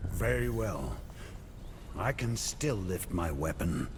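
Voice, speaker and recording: An actor playing a grim, determined warrior in a fantasy video game, terse and stern. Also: male voice, deep voice, very animated, takes it slowly, noisy background, full character voice. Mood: grim resolve. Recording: long reverb, loud music. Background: game music.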